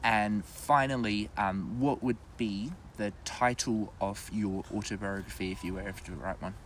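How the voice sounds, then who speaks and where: A man hosting a podcast outdoors, asking quick-fire questions in a playful, teasing way and laughing a lot. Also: lowish voice, fairly even intonation, a bit bright, slight background noise. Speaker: podcast host. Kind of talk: casual rapid-fire interview questions. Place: outdoors in college grounds.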